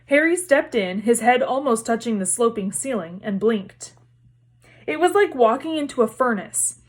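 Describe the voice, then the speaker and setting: A woman's voice: fairly high pitch, animated, moderate pace, slightly rough. A woman reading a storybook aloud as narrator. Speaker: read-aloud reader.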